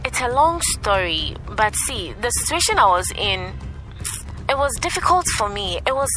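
Expressive young Ghanaian woman, lively swooping pitch. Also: normal speed, low-quality recording. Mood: troubled. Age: young adult. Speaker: voice actress in audio drama. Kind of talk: naturalistic phone-call drama dialogue.

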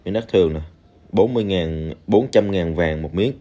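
Low-voiced chatty man. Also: moderate pace, dark tone, muffled recording. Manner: chatty, addresses viewers directly, many fillers. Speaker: game reviewer. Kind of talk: casual screen-recorded game walkthrough. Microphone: voice-over on screen recording.

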